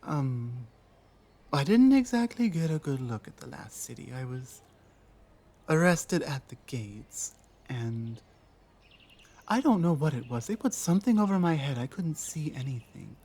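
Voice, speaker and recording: A man playing an earnest, honorable orc fugitive in an ASMR roleplay, speaking in a hesitant, halting, humble way. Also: mid-range voice, big pitch swings, mellow, husky, exaggerated voice. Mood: uneasy, confiding. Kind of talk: ASMR roleplay audio drama.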